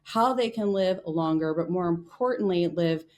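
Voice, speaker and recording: Woman hosting a podcast, lowish voice. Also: moderate pace, a little mellow. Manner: conversational, many "you know" fillers. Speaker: podcast host dermatologist. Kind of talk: podcast interview.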